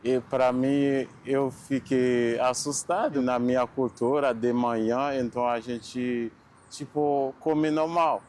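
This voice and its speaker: A man with a Haitian accent, telling his story haltingly and searching for words. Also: medium pitch, steady tone, a little mellow, a little hiss or hum. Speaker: interviewee. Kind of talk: documentary interview.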